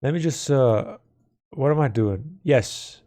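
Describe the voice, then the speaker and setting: A man streaming and chatting casually with his viewers in a rambling, playful, mock-dramatic way, his voice hoarse from a sore throat. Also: medium pitch, animated, slow, mellow. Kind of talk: casual livestream chat with viewers.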